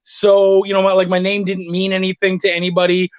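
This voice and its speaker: A man's voice: sits high, completely flat delivery, brisk, smooth, dry recording. A middle-aged man chatting casually as an interview guest, telling anecdotes and acting out bits of dialogue, on lo-fi livestream audio. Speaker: guest, freelance editor and writer.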